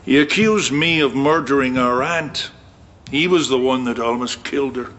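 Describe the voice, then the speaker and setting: A man's voice: mid-range voice, moderate pace, a bit bright, natural voice. An actor with a Scottish accent playing a bitter, wary man in a naturalistic radio drama. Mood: grim. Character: bitter, wary man discussing his violent war-damaged cousin. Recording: muffled, with clear background noise.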